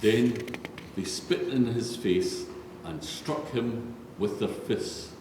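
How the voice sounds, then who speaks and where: Slow, low-voiced man in an echoey church. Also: expressive, gravelly. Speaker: narrator. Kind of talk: solemn scripture reading. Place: large reverberant church. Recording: very echoey church, faint noise.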